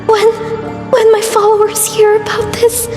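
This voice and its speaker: A spoiled pony princess in a cartoon dungeon, acted by a woman, high and huffy, whining through heavy echo. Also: completely flat delivery, measured pace. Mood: whiny, sulking. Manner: dramatic, exasperated whining. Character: whiny, vain young pop-star princess pony. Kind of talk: cartoon voice acting in a comic dub. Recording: very echoey, long reverb, music underneath.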